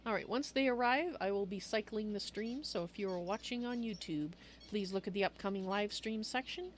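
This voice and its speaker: A woman's voice: medium pitch, fairly quick, slight background noise. A woman hosting a game livestream, chatting informally to viewers and thinking aloud. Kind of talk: casual livestream chat to viewers.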